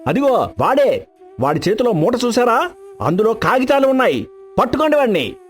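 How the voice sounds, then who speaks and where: An actor playing a greedy, arrogant, hot-tempered son-in-law in a dramatized folk tale, domineering and threatening. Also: male voice, very high-pitched, sing-song, normal speed. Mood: shouting alarm.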